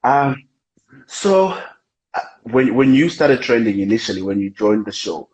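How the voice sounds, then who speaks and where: A man hosting a casual live interview over a video call, chatty and quick to drop short affirmations. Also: medium pitch, animated, darkish tone. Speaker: magazine online editor and interview host. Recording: echoey room.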